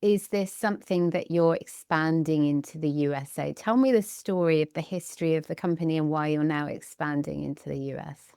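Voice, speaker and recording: A woman's voice: low-pitched, brisk, creaky, hardly any pauses, warm tone, clear, resonant tone, no room sound, studio quality. A woman hosting an interview, asking questions with enthusiasm. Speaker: podcast host.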